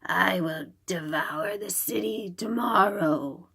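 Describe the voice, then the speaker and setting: A woman voicing a greedy, wicked male monster in a children's storybook, slow and menacing. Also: medium pitch, gravelly, fairly bright tone, husky, heavily acted. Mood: croaking, sore. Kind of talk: children's storybook read-aloud.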